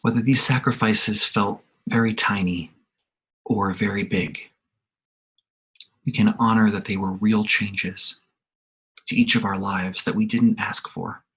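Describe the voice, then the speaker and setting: A man leading a guided meditation over a video call, speaking quietly and soothingly with long pauses. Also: lowish voice, fairly even intonation, slightly rough, poor audio. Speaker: meditation leader, religious organization secretary.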